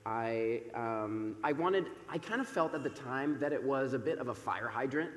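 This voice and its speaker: Chatty thirty-something pastor in an echoey sanctuary. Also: male voice, medium pitch, lively intonation, moderate pace, slight background noise. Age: 30s. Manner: loud, warm and chatty with many fillers. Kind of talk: sermon. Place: church sanctuary. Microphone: microphone over a PA system. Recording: echoey church hall with long reverb.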